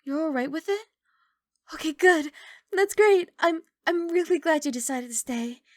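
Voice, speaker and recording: A woman voicing a kindly young giant dragon girl in an ASMR roleplay, speaking in a soft, coaxing, reassuring and slightly bashful way. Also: high voice, moderate pace, no echo, clean studio sound, close to an everyday voice. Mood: delighted.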